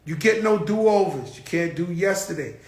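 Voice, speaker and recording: A man's voice: sits high, animated, normal speed, echoey room. A man hosting his own show, talking in a blunt, profane, rambling casual monologue. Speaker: show host.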